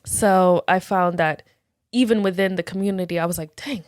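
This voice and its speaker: A woman guest casually recounting her story in conversation, with a relaxed storytelling manner and soft, frequent affirmations. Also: fairly low pitch, moderate pace, dry recording, clean studio sound. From United States.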